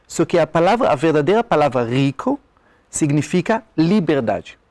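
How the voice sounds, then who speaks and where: A man's voice: on the high side, big pitch swings, moderate pace, darkish tone, no room sound. A man from Israel with an Israeli accent, a speaker and author explaining his ideas confidently as a TV interview guest. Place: TV studio.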